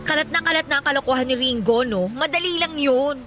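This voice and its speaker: A woman's voice: sits high, fast talker, music in the background, natural voice. An actress playing a sympathetic yet scheming female office friend, chatty and seeking agreement, in a Filipino radio drama. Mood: conspiratorial.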